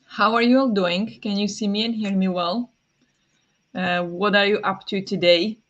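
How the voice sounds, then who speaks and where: A woman hosting a casual morning livestream from home, chatting, apologizing and greeting viewers, with many fillers, soft laughs and a voice that sometimes turns raspy. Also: medium pitch, fairly even intonation, moderate pace, dull audio. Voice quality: sometimes raspy, habitually clears throat. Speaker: livestream host.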